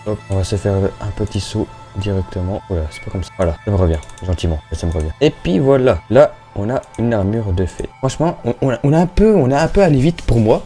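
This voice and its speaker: A man's voice: lowish voice, animated, fairly quick, hardly any pauses, warm tone, soft background music. A young man giving casual, slangy Minecraft let's-play commentary, chatting and thinking aloud as he plays. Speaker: gaming YouTuber.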